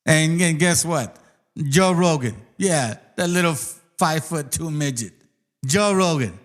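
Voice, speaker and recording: A man ranting aggressively and profanely, repeating himself, his voice swinging between loud outbursts and mutters. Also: a little high. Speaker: ranting commentator. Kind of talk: profane rant.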